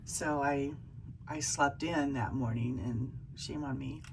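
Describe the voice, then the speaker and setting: A middle-aged woman vlogging about her cruise in a chatty way, full of ums and restarts, with a croaky, hoarse voice. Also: very deep voice, slow pace, noisy background. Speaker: travel vlogger. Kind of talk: casual vlog chat.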